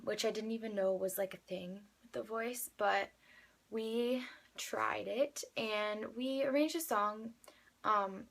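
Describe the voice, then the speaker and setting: A young woman of eighteen speaks with an American accent in a mid-range voice with plenty of vocal fry. She tells her story casually, as if chatting to a friend. Her delivery rambles, with frequent filler words and backtracking. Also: medium pitch. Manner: chatty, rambling, youthful filler-laden speech. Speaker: vlogger, amateur singer. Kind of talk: casual personal storytelling to camera.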